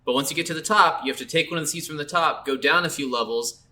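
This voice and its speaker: A man's voice: fairly high pitch, fast talker, sharp tone. A man co-hosting a podcast, talking casually about video games in an agreeable, emphatic way with plenty of swearing. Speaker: podcast co-host. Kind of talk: casual video game review chat.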